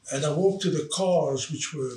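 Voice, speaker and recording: A man in his eighties who grew up in South Africa, telling stories from his life as an interview guest in a halting way, with many fillers and restarts. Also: fairly high pitch, mellow. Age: 80s. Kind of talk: storytelling in casual chat. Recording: roomy, distant mic, faint background noise.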